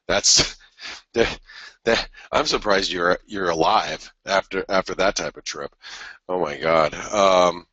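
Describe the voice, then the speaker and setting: A man with a stuffy head cold and a raspy voice, hosting a trading webinar in a rambling, casual way with many restarts. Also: deep voice, slow, pushed and bright, no echo, dull audio. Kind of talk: casual market analysis talk.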